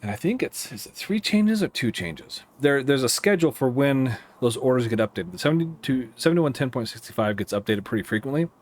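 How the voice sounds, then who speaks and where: A man with an American accent giving casual, explanatory commentary over a simulator game, thinking aloud in a halting, rambling way. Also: mid-range voice, lively intonation. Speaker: air traffic controller streaming a simulator game.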